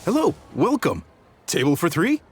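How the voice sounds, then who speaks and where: Lively, proud restaurant chef. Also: male voice, a little high, normal speed, heavy background noise. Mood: welcoming. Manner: hospitable, expressive, proud. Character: Chef Mao, proud, jovial middle-aged male restaurant owner. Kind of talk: video game voice acting. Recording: music playing behind the voice.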